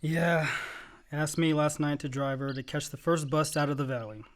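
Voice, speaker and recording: An actor playing a dutiful but resentful son, matter-of-fact and curt, in a naturalistic radio play. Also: male voice, fairly high pitch, fairly even intonation, normal speed, noisy background, close to an everyday voice.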